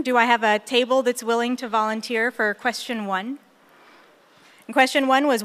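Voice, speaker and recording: Higher-voiced woman moderating an event. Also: fairly bright tone. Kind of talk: informal moderating of a roundtable event. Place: live event room with tables.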